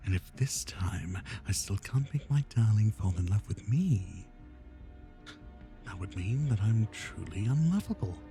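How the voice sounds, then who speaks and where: Man acting a lovesick yandere kidnapper, menacing, self-pitying, slow, swooping pitch, breathy half-whispers, intimate ASMR roleplay. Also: animated, dark tone, faint music behind. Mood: ominous, desperate. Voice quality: breathy, often dropping into whispers.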